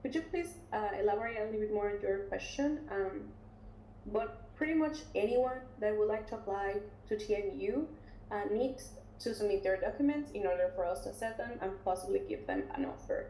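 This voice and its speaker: A woman working as an international recruitment officer, presenting a webinar and taking questions in a relaxed, chatty way with many fillers and restarts. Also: mid-range voice, a little fast. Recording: roomy webinar audio, faint noise.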